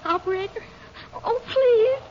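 An actress playing a frantic, frightened young farm wife in an old-time radio drama, urgent and pleading. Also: female voice, very high voice, a little slow. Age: young adult. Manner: urgent, pleading, breathless exclamations. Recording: noisy, lo-fi old radio recording.

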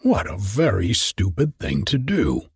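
A man's voice: lowish voice, huge pitch swings, measured pace, creaky, slightly rough, no echo, clean studio sound, heavily acted. A man doing a smug, preachy miller. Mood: sanctimonious. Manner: sanctimonious, condescending. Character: smug selfish male miller, preachy about friendship.

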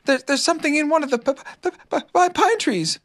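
Storybook timid small piglet, high-pitched, heavy stutter, anxious. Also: animated, a little fast, fairly bright tone, slight background noise. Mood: nervous, fearful. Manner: heavy stammer on first sounds. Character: timid little stammering piglet, nervous and anxious.

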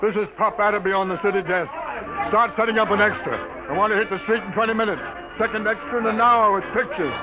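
An actor playing a gruff, middle-aged American city editor who barks brusque orders in an old radio drama. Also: male voice, sits high, fairly even intonation, quick pace, music in the background, close to an everyday voice. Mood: urgent, commanding. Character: gruff, harried veteran male newspaper city editor. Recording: noisy vintage radio recording.

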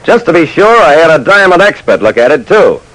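Wry radio detective, lively intonation. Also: male voice, a little high, brisk, no room sound, poor audio. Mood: narrating. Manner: wry, slangy, clipped. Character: dry, soft-hearted male detective telling his case. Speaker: detective narrator. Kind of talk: 1940s-50s radio detective narration. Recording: vintage radio broadcast.

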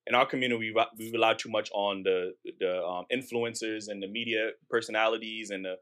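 A man's voice: on the low side, fairly even intonation, quick pace, fairly bright tone. A man with an American accent making informal, questioning points in a heated group debate.

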